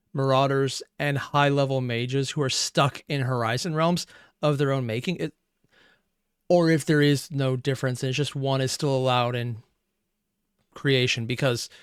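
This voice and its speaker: Hoarse, profane man rambling in reaction. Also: medium pitch, no echo, studio quality. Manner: rambling, profane, animated asides. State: losing his voice. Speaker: reaction streamer. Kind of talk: casual reaction commentary.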